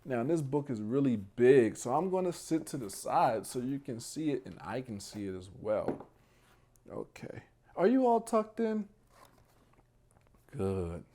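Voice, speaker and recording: A man hosting a bedtime read-aloud for young children, speaking in a hushed, gentle way and talking directly to his little listeners. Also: mid-range voice, big pitch swings, unhurried. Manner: addresses young listeners directly with questions and praise.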